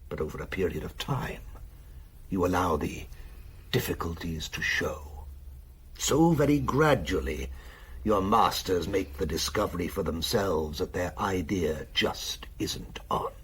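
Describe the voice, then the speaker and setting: An actor playing a worldly, cynical German admiral in a wartime radio drama, speaking in a wry, patronising, theatrical way. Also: male voice, deep voice, expressive, measured pace, fairly bright tone, slightly rough, heavily acted.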